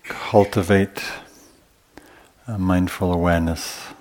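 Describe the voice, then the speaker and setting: A man teaching meditation in a gentle, unhurried way, often pausing between short phrases as he gives a guided talk at a retreat. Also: sits low, steady tone, low-quality recording.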